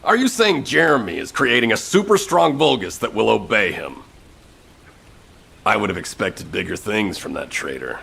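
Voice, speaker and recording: An actor playing a gruff, impatient military commander in a video game, blunt and exasperated in a gravelly voice. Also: male voice, lowish voice, big pitch swings, moderate pace, sharp tone, full character voice. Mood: scornful. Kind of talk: dramatic video game cutscene acting. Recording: distant voice under music.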